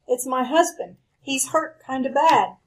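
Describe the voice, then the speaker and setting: A worried young western wife, high voice, urgent and pleading. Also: female voice, lively intonation, measured pace. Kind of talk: old-time radio western acting.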